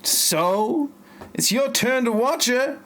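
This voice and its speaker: Man acting a stilted, slow melodramatic guy. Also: very high-pitched, animated, fairly bright tone, slight background noise, exaggerated voice. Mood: flatly indignant. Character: awkward melodramatic men of a parody film. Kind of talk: parody audio play acting.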